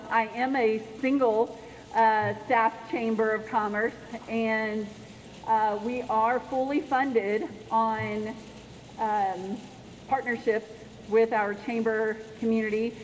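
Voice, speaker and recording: Soft-spoken Southern Indiana woman in a hall. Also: on the high side. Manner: soft-spoken, unhurried, chatty with asides. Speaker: chamber executive director. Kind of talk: speech at an annual meeting. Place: banquet hall. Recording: reverberant hall with faint background noise.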